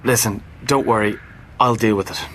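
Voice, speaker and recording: An actor playing a smug, scheming, evasive newspaper rival in a radio drama. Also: male voice, fairly low pitch, steady tone, normal speed, fairly bright tone, a little hiss or hum, close to an everyday voice. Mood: glib, reassuring. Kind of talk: naturalistic radio drama acting.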